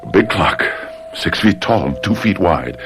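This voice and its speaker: Deep, haunted murderer. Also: male voice, animated, music in the background. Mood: tense, confessional. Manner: halting, stammering, repeating himself. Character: guilt-ridden anxious man who has committed murder, confessing and faltering. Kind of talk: old-time radio drama acting.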